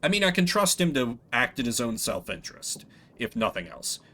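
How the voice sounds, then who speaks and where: A man giving expressive commentary as he plays and voices a game on stream. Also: mid-range voice, moderate pace. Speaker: game streamer voicing all characters.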